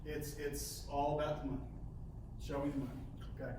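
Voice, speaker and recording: A man leading a sales training session, halting and repeating words, often ending points with "okay?". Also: a little high, flat delivery, dark tone. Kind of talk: coaching a training session with role-play. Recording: echoey room, mic far off, faint hiss.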